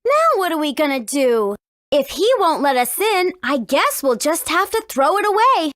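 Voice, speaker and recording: A woman's voice: high voice, big pitch swings, moderate pace, fairly bright tone, no echo, clean studio sound. A woman voicing a confident, cheeky teenage heroine in a video game, breezy and persuasive. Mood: teasing, sly. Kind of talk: video game character acting.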